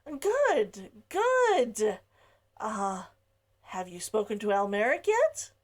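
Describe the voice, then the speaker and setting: A woman voicing a nervous shopkeeper man, halting, apologetic, lively pitch. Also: exaggerated voice. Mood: hesitant. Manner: halting, full of uh fillers.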